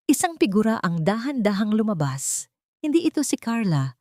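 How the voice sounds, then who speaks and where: A woman's voice: on the low side, darkish tone, dry recording, clean studio sound. A woman narrator reading a scripted romantic audio drama in a dramatic storytelling style.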